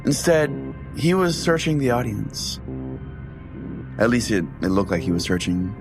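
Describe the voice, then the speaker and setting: A man's voice: mid-range voice, sing-song, a little slow. A man hosting a comedy podcast, narrating a scripted first-person tale in a theatrical, earnest storytelling way. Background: music bed under the voice.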